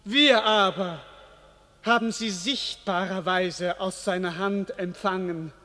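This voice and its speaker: A man's voice: sits high, takes it slowly, pushed and bright, full character voice. A solemn king in a vast hall. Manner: solemn, ceremonial proclamation. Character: a regal king addressing his people. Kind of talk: classic verse radio drama. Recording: long reverb, as in a cathedral.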